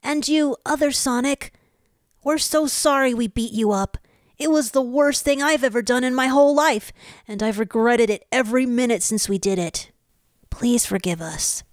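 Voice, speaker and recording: A woman voices a sweet, earnest little fox boy in a fan radio drama. The voice sits high and sounds sincere. The recording is dry. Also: normal speed, no room sound, close to an everyday voice. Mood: remorseful. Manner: earnest and apologetic.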